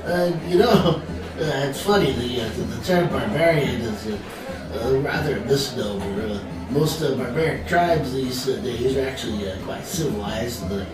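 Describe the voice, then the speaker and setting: An actor playing a fussy, pedantic sage and inventor in a comic fantasy audio drama, halting, long-winded and pompous. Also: male voice, mid-range voice, expressive, natural voice, rough recording. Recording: music playing behind the voice.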